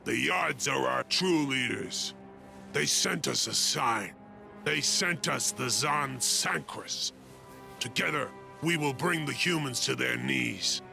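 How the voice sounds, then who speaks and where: Gravelly, forceful alien rebel. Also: male voice, medium pitch, takes it slowly, faint music behind, exaggerated voice. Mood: defiant. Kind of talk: video game cutscene acting.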